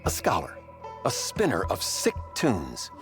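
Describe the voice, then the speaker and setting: Animated, grandiose scholar-showman, music behind. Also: deep voice, measured pace, creaky, exaggerated voice. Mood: smug, breezy. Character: eccentric, self-important scholar moonlighting as a flashy DJ. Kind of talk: animated game voice acting.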